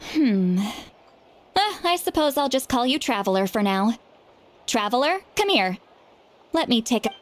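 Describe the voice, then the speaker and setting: A female elder who sounds young, in a high and very lively voice. She is prickly, teasing and a little haughty, a theatrical video game character hiding some awkwardness. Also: a little slow, long pauses. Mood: composed.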